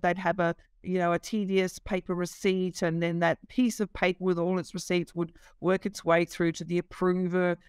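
A woman's voice: lowish voice, clear, resonant tone, dry recording. A middle-aged woman, a company CEO, explaining her business over a video call, fluent but with many fillers. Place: office.

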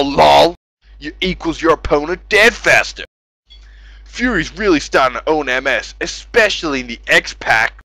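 A man's voice: a little high, vocal fry, pushed and bright, no room sound. A man doing a sarcastic, exasperated, profane dramatic reading of forum posts, exaggerating as he goes. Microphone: close mic on gaming voice chat.

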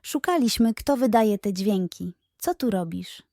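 A woman's voice: mid-range voice, animated, a little slow, dark tone, no echo, studio quality. A woman voicing a brave, curious young male rabbit in a bedtime audio story, speaking earnestly and reassuringly.

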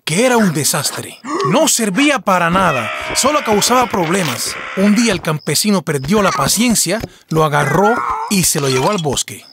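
A man's voice: high voice, sing-song, moderate pace, few pauses, very noisy. A man narrating a scripted folk tale for children as a storyteller.

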